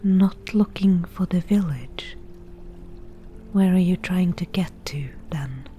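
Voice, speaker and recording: A woman playing a wry, teasing forest witch in a softly whispered ASMR roleplay, with dry, unhurried delivery. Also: on the low side, soft background music. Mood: curious, mocking.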